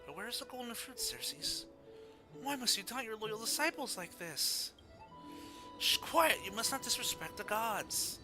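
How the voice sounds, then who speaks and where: A man's voice: high voice, sing-song, measured pace, over music, pitched above the performer's own voice. A man voicing a zealous, pleading disciple in a theatrical, exclamatory manner for a fantasy game story. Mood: anguished, pleading. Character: zealous, pleading disciple crying out to an ancient wisdom tree.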